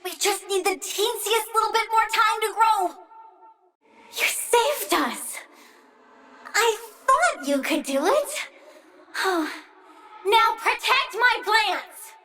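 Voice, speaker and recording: A woman's voice: extremely high pitch, animated, slow, long pauses, pushed and bright, recorded from a distance, a little hiss or hum, heavily acted. A woman voicing a bratty, childlike plant-girl villain in a video game, petulant and eager, with vicious relish. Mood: earnest, cutesy. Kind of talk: video game character voice lines.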